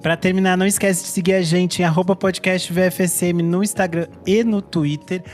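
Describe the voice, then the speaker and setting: A man's voice: high voice, fairly even intonation. A man from Brazil co-hosting a music podcast, playful and laughing as he chats and wraps up. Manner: playful, laughing, drawn-out sign-off. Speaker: podcast co-host. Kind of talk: casual chat.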